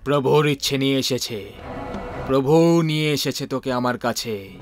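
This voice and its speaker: A man's voice: mid-range voice, normal speed, close to an everyday voice. Guy doing a solemn mystic holy man, intoning, cartoon horror. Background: background music.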